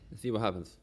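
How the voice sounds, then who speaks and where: A man with a cold streaming a game, coughing and sniffling, talking half to himself and reading the game text aloud. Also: lowish voice. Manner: mumbly, half to himself, sing-song repeats.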